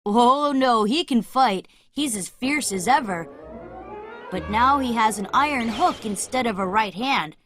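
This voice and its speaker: A woman voicing a bold, confident boy adventurer who leads other children in an animated children's story, speaking in a commanding, dramatic way. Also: a little high, unhurried, close to an everyday voice. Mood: grave, boastful.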